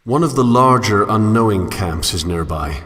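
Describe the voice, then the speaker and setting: A man's voice: on the low side, animated, moderate pace, fairly bright tone. A man playing a solitary, brooding wanderer who muses in formal, eloquent language in a scripted game monologue. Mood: contemplative, somber. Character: solitary, brooding male wanderer musing philosophically on a fallen world. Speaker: narrator. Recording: long hall reverb, music underneath. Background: music.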